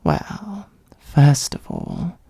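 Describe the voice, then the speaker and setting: A performer of unclear sex voicing an ancient, haughty dragon in a comedic fantasy ASMR roleplay, with a breathy, husky, gravelly voice and a sardonic, condescending, musing manner. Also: medium pitch, wildly swooping pitch, extremely slow, warm tone, heavily acted. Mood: haughty, bargaining. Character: ancient gold-hoarding dragon, haughty, dryly exasperated.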